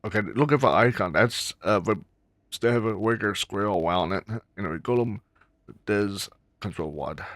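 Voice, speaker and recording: Low-voiced man muttering through a game. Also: normal speed, a bit bright, no room sound, studio quality. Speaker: gamer recording a playthrough. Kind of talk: casual gameplay commentary.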